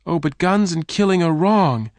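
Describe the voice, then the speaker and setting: A man's voice: medium pitch, normal speed, no echo, voice pushed up, natural voice. A man doing an anxious pleading young woman. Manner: raised, emotional pleading speech.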